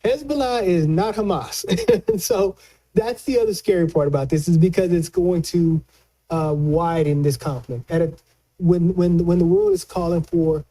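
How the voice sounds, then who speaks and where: A man giving conversational political commentary as a news analyst, colloquial and halting, often repeating words as he explains. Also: a little high, dark tone, poor audio.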